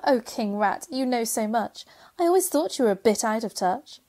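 Woman playing cheeky, high-voiced pantomime good fairy. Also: lively intonation, normal speed, darkish tone, lightly acted. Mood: teasing. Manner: cheeky, rhyming, addressing the audience. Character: bright, bossy good fairy spirit, pantomime heroine's helper. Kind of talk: pantomime radio play narration and acting.

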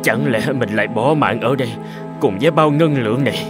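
A man's voice: mid-range voice, fairly quick, over music, close to an everyday voice. Man voicing a whiny greedy peasant. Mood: exhausted, despairing. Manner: whining, grumbling, excitable. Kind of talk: cartoon folk-tale acting.

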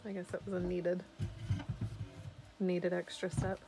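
A woman's voice: fairly low pitch, completely flat delivery, unhurried, vocal fry, over music. A woman with an American accent, hosting a casual home vlog in a chatty, upbeat way, recorded on the phone she is holding. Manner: chatty, upbeat, laughs often. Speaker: vlog host filming. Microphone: phone camera she is holding.

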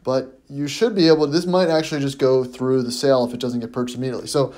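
A man's voice: mid-range voice, fairly even intonation, quick pace, a little mellow. A man hosting a car review video, talking casually and off the cuff as he thinks aloud. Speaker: host of a car auction review channel. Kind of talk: casual unscripted commentary.

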